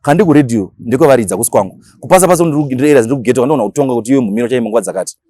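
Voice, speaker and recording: A Shona-speaking man with a Zimbabwean accent, talking casually as an interview guest and explaining things in animated, slangy language with acted-out examples. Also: mid-range voice, very fast, no echo, studio quality. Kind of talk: casual interview talk mixing Shona and English.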